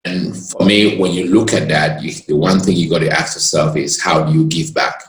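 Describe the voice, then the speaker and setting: A man from Cameroon, a lawyer and guest who tells his story in an earnest, expansive way and pauses to gather his words. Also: a bass voice, fairly even intonation, reverberant, poor audio. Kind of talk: reflective storytelling in conversation.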